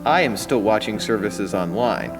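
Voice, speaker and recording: A man's voice: on the low side, expressive, moderate pace. A man reading written church testimonials aloud as a member of a team. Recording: loud guitar music behind voice. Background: loud plucked guitar music.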